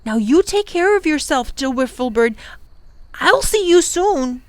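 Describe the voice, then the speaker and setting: A woman's voice: high voice, animated, measured pace, no room sound, voice pushed up. Give me a woman voicing a brave, tender young boy in a storybook. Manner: earnest, bravely gentle.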